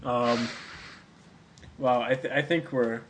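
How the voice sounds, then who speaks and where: A man's voice: medium pitch, fairly even intonation, slow pace, noisy background. A man hosting a podcast, teasing in casual banter and laughing often. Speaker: podcast host.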